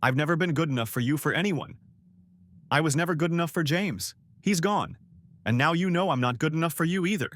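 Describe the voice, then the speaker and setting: A man's voice: a little high, fairly quick, a bit bright, no echo, studio quality, voice pushed up, natural voice. A man voicing a distraught, overdosing young wife who pleads and sobs. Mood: anguished. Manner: sobbing, pleading, manic.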